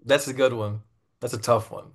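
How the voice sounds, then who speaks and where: A man's voice: medium pitch, fairly even intonation. Easygoing male interviewer. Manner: friendly, easygoing questions and quick affirmations. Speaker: interviewer host. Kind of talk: casual interview.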